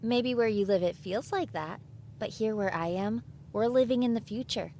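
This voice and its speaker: A woman's voice: fairly high pitch, moderate pace, creaky, a little mellow, slight background noise. A woman playing a sceptical, sarcastic seventeen-year-old modern girl in an audio drama. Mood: dismissive. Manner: sarcastic, dismissive.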